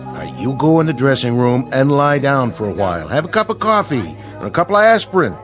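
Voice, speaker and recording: A man's voice: medium pitch, very animated, a little fast, soft background music, close to an everyday voice. Slick, swooping actor as a male showbiz agent. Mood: coaxing, brisk. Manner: breezy, slangy, coaxing. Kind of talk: radio drama acting.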